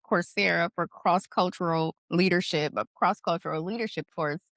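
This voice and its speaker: A woman's voice: lowish voice, moderate pace, fairly bright tone, no echo, studio quality. A middle-aged woman hosting a casual conversation, thoughtful and questioning, often adding "you know" and "right". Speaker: podcast host.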